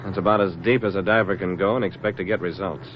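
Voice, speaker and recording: A practical deep-sea diver acted by a man talks quickly and plainly, all business. It is 1940s radio drama, muffled and clearly noisy. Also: steady tone, lightly acted. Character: brisk, practical, decent male civilian deep-sea diver.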